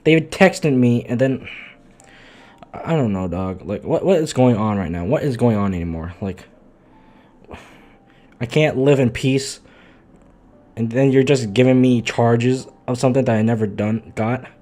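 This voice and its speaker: A man live streaming, chatting casually off the cuff in a halting, muttering, sweary way. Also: medium pitch, a little mellow.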